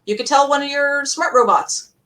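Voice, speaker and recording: A woman panelist talking casually and enthusiastically about audio dramas in a rambling, animated way. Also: high-pitched, moderate pace. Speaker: podcast panelist. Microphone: distant computer mic on a virtual panel. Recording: distant microphone.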